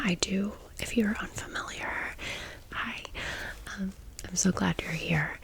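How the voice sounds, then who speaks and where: A woman's voice: fairly low pitch, low-quality recording. An American woman in her thirties whispering a personal story as an ASMR host, chatty and confiding, drifting into asides.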